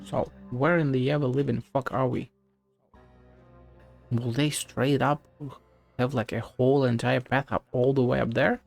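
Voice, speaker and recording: A game streamer thinking out loud in a playful, foul-mouthed way. The delivery is unhurried with lots of vocal fry, and the pitch jumps around. Faint music sits underneath. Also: steady tone. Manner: playful, sweary, talking to self. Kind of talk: casual gameplay commentary.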